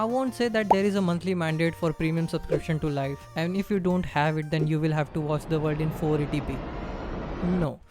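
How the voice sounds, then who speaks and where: A man from India with a strong Indian accent, narrating a scripted explainer and addressing the viewer directly. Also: high voice.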